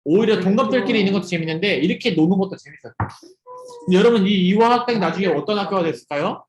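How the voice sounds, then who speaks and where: A man's voice: high-pitched, racing, roomy sound. A young man leading an interactive youth sermon over a video call, asking his students questions as he goes. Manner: lively, asks students questions and jokes with them. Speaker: youth ministry preacher.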